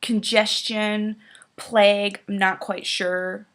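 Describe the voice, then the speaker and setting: A woman's voice: medium pitch, flat delivery, slow pace, fairly bright tone. A woman with a head cold, sniffling as she mocks a children's book in a sarcastic, profane casual commentary. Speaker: YouTuber.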